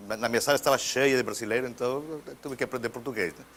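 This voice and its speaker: A man from Mexico, a native Spanish speaker with a Mexican accent, giving an academic physics lecture in Portuguese, halting and restarting words and often clearing his throat. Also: medium pitch, fairly even intonation, fairly bright tone, a little hiss or hum.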